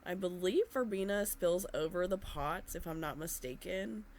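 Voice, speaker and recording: A woman narrating her gardening video in a gentle, unhurried way with frequent fillers, her voice slightly nasal from a cold. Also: lowish voice, slight background noise. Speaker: gardening vlogger.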